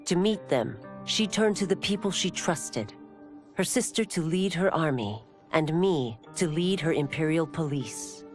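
An actress playing a plainspoken police constable, narrating a game ending over music. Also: female voice, sits low, expressive, unhurried, a little mellow. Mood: steady. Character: blunt female police constable.

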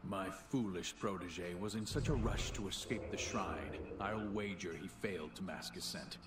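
A stern elven druid elder from a fantasy game, grave and commanding in a low voice. His words echo as if sent by magic, with noisy game ambience around them. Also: normal speed, recorded from a distance. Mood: stern, instructing. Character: stern male night elf druid mentor. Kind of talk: fantasy video game voice acting. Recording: echoey, noisy game audio behind.

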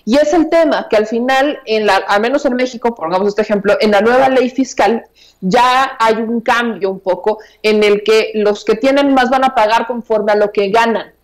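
A woman's voice: a little high, normal speed, poor audio. A woman in her thirties with a Mexican accent giving news commentary from a small room at home, emphatic and conversational, repeating her points. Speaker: political commentator. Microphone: earphone mic.

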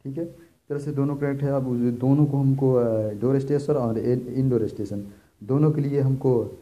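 Guy doing a casual how-to demo, soft dark voice, goes step by step and repeats stuff. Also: mid-range voice, fairly even intonation, normal speed, muffled recording. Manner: repetitive, step-by-step explaining. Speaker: electrician presenting a tutorial. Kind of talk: informal hands-on product demonstration. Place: indoors, filming equipment on the floor.

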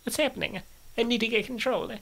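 A woman's voice: a little high, fast, vocal fry, fairly bright tone. A woman playing a flustered, indignant young noblewoman in a comic dub, stammering and protesting.

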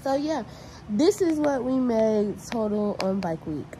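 A woman's voice: a little high, lively intonation, a little slow, mellow, slight background noise, poor audio. A young woman, chatting casually and bluntly to her vlog audience while tallying money aloud. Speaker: vlogger. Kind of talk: casual vlog talk to camera.

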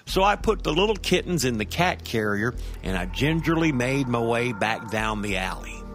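A man's voice: mid-range voice, very animated, normal speed, a bit bright. Casting: male actor as indignant, rattled granddad for comic horror audio drama; chatty, stammering storyteller over music. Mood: amazed, uneasy. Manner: conversational, stammering, seeking agreement with tag questions. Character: rattled, indignant grandfather phoning in about a monster cat. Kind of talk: first-person horror-comedy monologue for audio drama.

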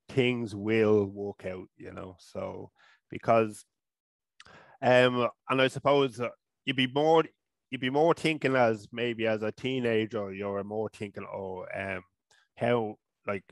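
A man in his thirties with an Irish accent, talking in a casual interview and stuttering, halting and restarting often, into a headset mic in a small room at home. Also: on the low side, gravelly, no echo. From Ireland. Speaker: guest.

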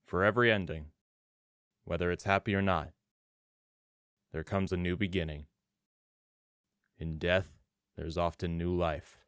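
A man voicing a solemn, ominous storyteller, narrating a comic dub in a measured, portentous way. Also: low-pitched, fairly even intonation, fairly bright tone, clear, resonant tone, no room sound, clean studio sound. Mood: grave, ominous.

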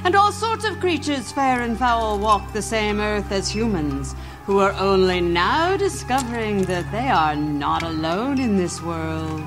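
A woman's voice: medium pitch, very animated, a little slow, over music. A woman giving a scripted, dramatic narration as an introduction to a horror series.

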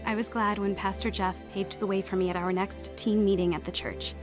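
A woman's voice: medium pitch, fairly even intonation, a little fast, over music, close to an everyday voice. A woman playing an earnest, repentant teenage girl in an audio drama, speaking in a confessional way. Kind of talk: dramatized first-person narration and dialogue.

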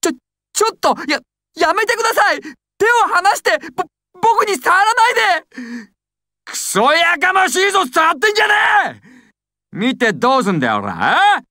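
A woman's voice: very high-pitched, big pitch swings, normal speed, bright, forceful tone, dry recording, clean studio sound, exaggerated voice. A woman voicing a nervous, stammering young male gangster in anime style, servile yet volatile. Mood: flustered, then furious, frantic. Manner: stammering, swings from timid to explosive. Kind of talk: anime and game dramatic acting.

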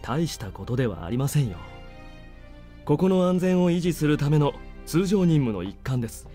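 Visual novel major: dutiful, formally polite, soft mellow tone, brisk delivery. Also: male voice, fairly high pitch, big pitch swings. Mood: composed, courteous. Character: polite, guarded male military major, dutiful and composed. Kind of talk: Japanese visual novel voice acting. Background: game music.

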